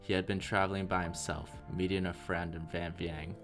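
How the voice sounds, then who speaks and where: Very deep-voiced man narrating a documentary. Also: little pitch movement, normal speed, music in the background. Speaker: interviewer and narrator.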